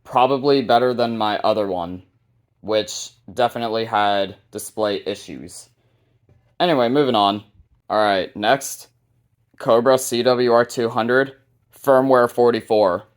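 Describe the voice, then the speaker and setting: A man hosting a casual, unscripted hobby video showcase, talking informally and a bit haltingly. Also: mid-range voice, smooth. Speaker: hobbyist video host showing a radio collection.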